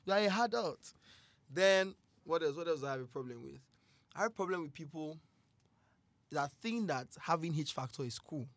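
A young man with a Nigerian accent in crude, animated casual chat, laughing often. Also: on the high side, moderate pace, no echo.

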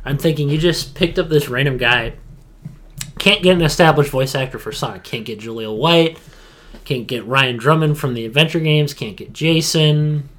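A man's voice: fairly high pitch, normal speed, fairly bright tone, a little hiss or hum. A man co-hosting a podcast in casual pop-culture chat, slangy and opinionated in how he talks. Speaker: podcast co-host.

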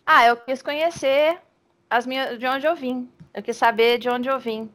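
A woman with a slightly high voice plays in a tabletop game. She talks casually and hesitantly while working out her ideas aloud, and laughs often. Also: steady tone, moderate pace, a bit bright. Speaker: player.

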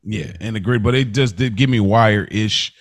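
Low-pitched American man, casual podcast host. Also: normal speed. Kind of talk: casual TV recap chat.

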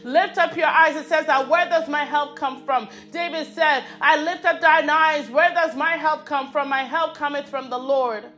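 A woman's voice: sits high, fairly even intonation, moderate pace, few pauses, fairly bright tone, faint music behind. A woman leading a fervent spoken prayer, repeating her petitions and calling out "oh God" between phrases. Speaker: prayer leader.

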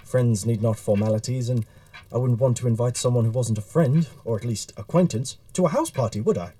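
Man playing an earnest, mellow-voiced Victorian writer. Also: mid-range voice, lightly acted. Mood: friendly, wry. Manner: formal, measured, wry. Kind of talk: audio drama acting.

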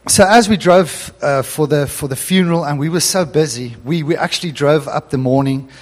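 A man's voice: fairly high pitch, moderate pace. A male pastor whose first language is Afrikaans, telling a story in an earnest sermon that builds to emphatic preaching.

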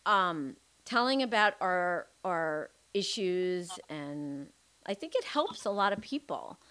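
A woman's voice: fairly low pitch, sing-song, slow pace, a bit bright, smooth. Effusive woman podcast host. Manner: effusive, rambling with many restarts. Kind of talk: casual interview chat.

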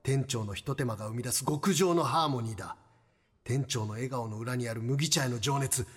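A man's voice: mid-range voice, normal speed, fairly bright tone, husky. A man with a Kansai Japanese accent playing an earnest, lovestruck salaryman in a comedic radio drama, grand and a little awkward. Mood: rapturous, earnest. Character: earnest lovestruck salaryman regular at a tea shop.

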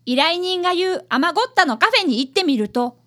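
An eager schoolboy detective voiced by a woman, very high and lively in pitch. He narrates a radio drama earnestly and speaks like a dutiful young apprentice. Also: a little fast. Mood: earnest narrating.

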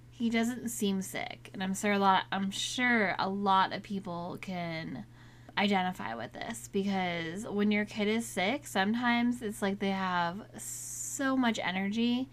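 A woman in a casual solo talk, still getting over a cold, so her voice is a little raspy at times; she speaks in a chatty, candid way. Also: medium pitch, a bit bright. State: recovering from a cold, slightly raspy. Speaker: podcast host.

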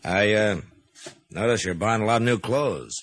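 An actor playing a calm, firm frontier marshal in a 1950s radio western, measured and quietly authoritative. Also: male voice, lowish voice, slight background noise, natural voice. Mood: steady.

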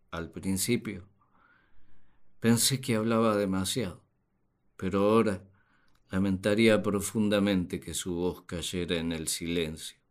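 An actor playing a naive, puzzled husband and father in a radio play, speaking in a deliberate, theatrical way. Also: deep voice. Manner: deliberate, theatrical, expressive delivery. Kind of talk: radio play monologue, dramatized diary.

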